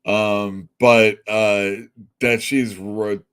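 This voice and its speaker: A man's voice: fairly low pitch, level tone, very slow, a bit bright, clear, resonant tone. A middle-aged man hosting a comic shop show, chatting casually and bluntly, rambling, swearing and laughing at his own jokes. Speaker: comic shop podcast host. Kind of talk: casual opinionated chat.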